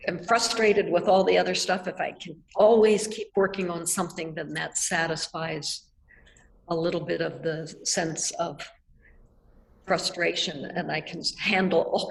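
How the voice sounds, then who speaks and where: An older woman from Nebraska, answering questions in a reflective, measured way over a video call. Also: on the low side, creaky, slight background noise, low-quality recording. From Nebraska, United States. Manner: reflective, measured, with soft laughs. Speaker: artist guest. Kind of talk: thoughtful conversational answers. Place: art gallery, indoors. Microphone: video call webcam.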